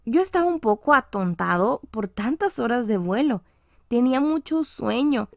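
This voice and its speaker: A woman reading a scripted children's audiobook story, with expressive, deliberately phrased storytelling. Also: a little high, no echo, studio quality. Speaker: audiobook narrator.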